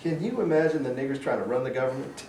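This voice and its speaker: A man's voice: medium pitch, animated, fast, darkish tone, close to an everyday voice. A man in a staged reading playing an agitated, folksy segregationist state official who sounds alarmist and emphatic. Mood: indignant. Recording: roomy, distant mic, faint noise.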